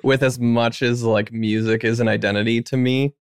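Very deep-voiced speaker in their thirties, chatting. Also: female voice, fairly even intonation, normal speed, a little mellow, clean tone, no echo, clean studio sound. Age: 30s. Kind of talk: casual chat.

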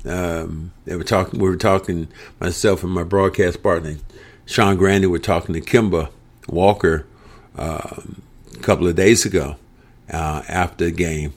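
A middle-aged sports broadcaster with a somewhat low voice talks through a topic in relaxed podcast chat. He is reflective and measured, and his speech is often broken up by hesitant fillers. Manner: reflective, halting with frequent fillers. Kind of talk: casual sports talk.

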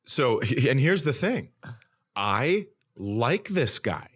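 A middle-aged American man telling stories casually and comically, with an animated, blunt, ranting manner and some swearing. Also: mid-range voice, slow, slightly rough. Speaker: podcast host, comedian. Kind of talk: casual comedic storytelling.

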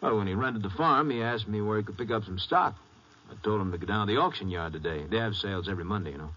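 An actor playing a polite, helpful small-town broker in an old-time radio western. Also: male voice, on the low side, quick pace, sharp tone. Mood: obliging. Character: obliging small-town male real estate broker. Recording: noisy lo-fi old radio recording.

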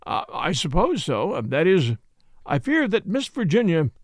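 Man playing a bashful, stammering young gentleman. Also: fairly high pitch, wildly swooping pitch, normal speed, vocal fry, dry recording, studio quality, exaggerated voice. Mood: flustered. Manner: stammering, hesitant. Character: timid, awkward young gentleman, bashful and polite.

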